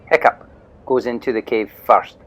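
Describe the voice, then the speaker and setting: A man's voice: medium pitch, steady tone, moderate pace, a bit bright, lightly acted. A man voicing a sneering, bossy young bully in a storybook audio play, giving orders to the other boys. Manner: sneering, commanding. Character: sneering bossy boy bully, eager for danger.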